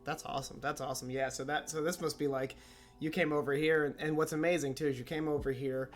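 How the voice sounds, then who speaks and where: A man's voice: a little high, little pitch movement, fast, soft background music. A man interviewing a player for an esports team, upbeat and rambling, often saying how awesome things are. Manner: upbeat, repeats "that's awesome", rambling questions. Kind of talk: casual interview.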